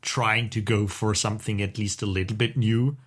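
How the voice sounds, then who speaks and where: A man's voice: fairly low pitch, steady tone, moderate pace. A man co-hosting a podcast in casual conversation, with an opinionated, wry way of talking. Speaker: podcast co-host.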